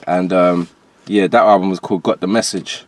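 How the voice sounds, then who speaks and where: Deep-voiced man with transatlantic accent. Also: steady tone, moderate pace, a little hiss or hum. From Jamaica, later moved to England. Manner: conversational, slangy. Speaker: guest, rapper and producer. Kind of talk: casual interview talk.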